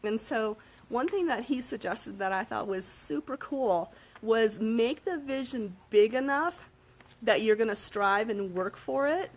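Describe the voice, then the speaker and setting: A woman with a slightly hoarse voice, giving a casual motivational talk to camera and checking in with her listeners often. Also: a little high, expressive, unhurried, low-quality recording. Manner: upbeat, frequent "okay?" check-ins and fillers. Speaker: self-help vlogger.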